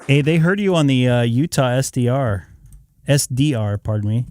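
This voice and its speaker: A man hosting a ham radio live stream, chatting in easygoing banter. His pitch sits in the middle, and the sound is dry and close with no room echo. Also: lively intonation, normal speed, a little mellow. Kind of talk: casual on-air radio chat.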